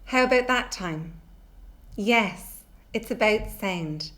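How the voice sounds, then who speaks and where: Woman teaching kids a workshop, takes her time, clear and expressive. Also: medium pitch, slow pace, music in the background. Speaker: workshop presenter. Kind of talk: scripted lesson presentation for children. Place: small indoor room. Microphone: microphone on the desk close by.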